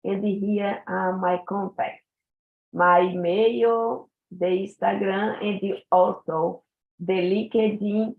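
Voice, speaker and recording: Woman, slow gravelly lecture over call. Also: medium pitch, fairly even intonation. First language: Portuguese. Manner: unhurried, searching for English words. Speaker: guest speaker. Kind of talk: online conference talk. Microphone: video call. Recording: tinny phone-like video call audio.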